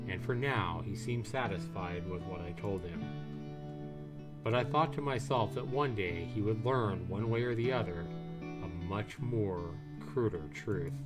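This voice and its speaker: A man with a fairly deep voice reads story narration from a visual novel as a streamer. Guitar music plays clearly behind him.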